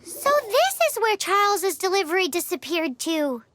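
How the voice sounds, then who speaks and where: A woman voicing a tiny, cheerful fairy companion in a video game, with an excitable, childlike manner. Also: very high-pitched, moderate pace, heavily acted. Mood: puzzled. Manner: excitable, speaks of herself in third person. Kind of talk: animated video game voice acting.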